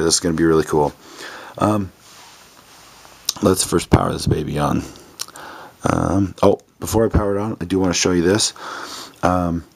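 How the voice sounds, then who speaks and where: A hoarse man casually demonstrating a light controller, rambling with many ums and sounding enthusiastic. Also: sits low, a little mellow. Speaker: product demonstrator. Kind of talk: casual product demo.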